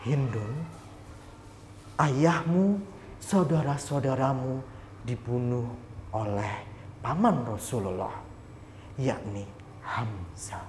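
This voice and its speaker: Storybook figure: man playing a grave, slow-speaking messenger friend, echoey hall. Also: a little mellow, a little hiss or hum, lightly acted. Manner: low, grave and measured. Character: solemn friend bearing bad news. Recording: echoey hall with faint ambience.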